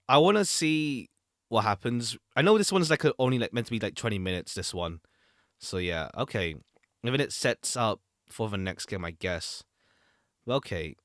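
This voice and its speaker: A man doing casual gameplay commentary as a streamer, halting and repeating himself as he thinks aloud. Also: on the low side, sing-song, fairly bright tone, dry recording, clean studio sound. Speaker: streamer playing a visual novel. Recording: music playing behind the voice. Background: jazzy game background music.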